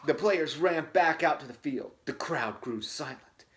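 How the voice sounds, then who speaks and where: Wry man reading a storybook, slightly high voice. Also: a little slow, a bit bright. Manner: wry, tongue-in-cheek. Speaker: host reading a children's book aloud with asides. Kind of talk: dramatic storybook reading broken by casual joking commentary.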